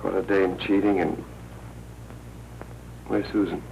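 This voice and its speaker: A man's voice: low voice, little pitch movement, slow, gravelly, lo-fi sound, heavily acted. An American actor playing a tough, curt newspaperman in an old film noir crime drama. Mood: curt, impatient. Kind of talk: 1940s film noir acting. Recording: noisy old 1940s film soundtrack.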